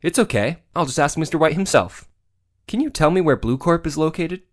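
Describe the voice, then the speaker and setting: Man playing an eager, animated young defense lawyer. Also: a little high, fairly quick, lightly acted. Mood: inquiring. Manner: dramatic, with wry inner asides. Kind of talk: theatrical video game fan dub.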